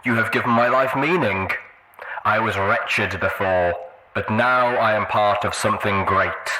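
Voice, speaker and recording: A man's voice: fairly low pitch, a little slow, noisy background, close to an everyday voice. Man voicing a fervent, fawning mare follower.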